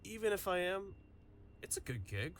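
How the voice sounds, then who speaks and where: A man's voice: sits high, sing-song, moderate pace, a bit bright, slight background noise. A man playing a cheeky young villain's henchman in an audio drama, with a casual, playful delivery. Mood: casual, doubtful.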